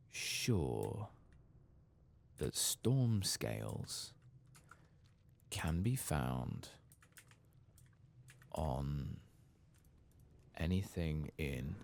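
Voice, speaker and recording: A soft-spoken man doing ASMR-style game commentary, muttering casually to himself as he thinks aloud and sometimes dropping into a whisper. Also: very deep voice, gravelly. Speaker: gaming video host.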